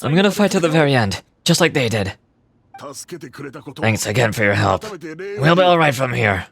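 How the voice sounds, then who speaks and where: A man's voice: medium pitch, animated, moderate pace, creaky, a crowd in the background. A male actor voicing a weary, regretful survivor of a fantasy war in a video game. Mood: resolute. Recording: game audio with music behind. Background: game music.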